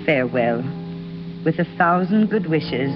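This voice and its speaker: An actress playing a gentle, devout old lady reading out a letter in a 1930s radio drama. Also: low-pitched, lively intonation, measured pace. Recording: muffled 1930s radio recording, music behind.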